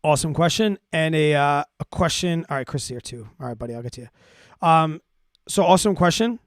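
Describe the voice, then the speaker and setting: A man with a slightly high voice hosts a finance livestream in a very dry, close recording. He explains in a casual, conversational way and keeps checking that listeners follow along. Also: brisk, dry recording. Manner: conversational, repeats check-ins like "right?" and "okay?". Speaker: host of a finance livestream answering listener questions. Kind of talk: casual explanatory talk to a live audience.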